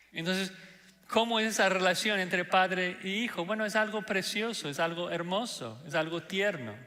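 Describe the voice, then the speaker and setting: A man preaching a sermon as pastor, speaking deliberately with frequent "ah" fillers; Spanish is not his first language. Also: sits high, sharp tone. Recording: long hall reverb.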